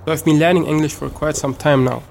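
A young man from Syria, speaking in an earnest, measured way as the one being asked questions. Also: on the high side, steady tone, creaky, a little mellow, a little hiss or hum. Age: young adult. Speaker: interviewee.

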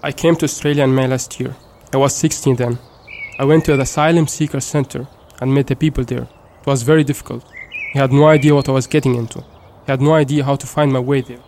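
A man's voice: medium pitch, steady tone, fast talker, a little mellow, a little hiss or hum. Earnest young man from Syria. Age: young adult. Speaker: interviewee.

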